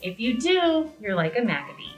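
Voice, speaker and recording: A woman's voice: on the high side, very animated, normal speed. A woman reading aloud to young children in a teacherly, questioning way. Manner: teacherly, asks simple questions. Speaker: preschool educator reading to children. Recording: distant, roomy, slightly dull, faint music.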